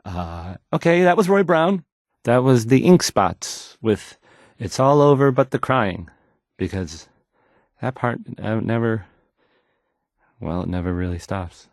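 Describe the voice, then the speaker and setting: Jittery young radio dj for a game, stutters n apologizes, voice jumps all over, super dry sound. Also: male voice, lowish voice, expressive, slow, no room sound. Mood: awkward, downbeat. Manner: nervous, stammering, hesitant, apologetic. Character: young, timid, awkward, self-doubting male radio DJ. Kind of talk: post-apocalyptic video game voice acting.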